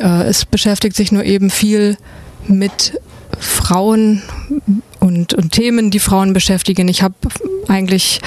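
A woman's voice: mid-range voice, darkish tone. A middle-aged woman from Brandenburg in Germany, talking casually and a little hesitantly as a radio studio guest. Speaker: studio guest, new radio show host. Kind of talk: casual radio interview talk. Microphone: close studio microphone.

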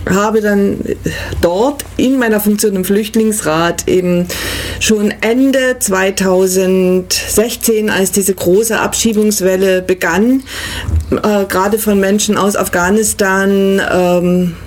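A woman's voice: mid-range voice, steady tone, slow pace, darkish tone. A woman guest on a radio talk show, speaking earnestly and articulately with a few fillers. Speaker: refugee council member.

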